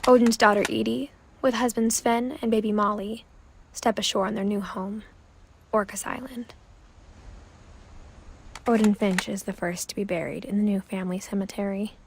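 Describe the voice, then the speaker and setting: A woman's voice: mid-range voice, steady tone, normal speed, vocal fry, long pauses, slight background noise, natural voice, poor audio. A woman voicing a wistful young narrator. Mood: reflective. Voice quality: soft, reflective, often drops to a whisper. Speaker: game narrator. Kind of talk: scripted narration. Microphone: studio voice-over.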